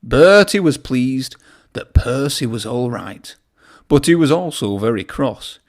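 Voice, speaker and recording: Man, children's read-aloud narrator, slow pace, animated intonation. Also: mid-range voice. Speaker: storybook reader.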